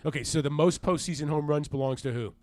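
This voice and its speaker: A man's voice: fairly high pitch, fast, a little mellow, no echo. An American man co-hosting a radio show, talking sports casually in a studio.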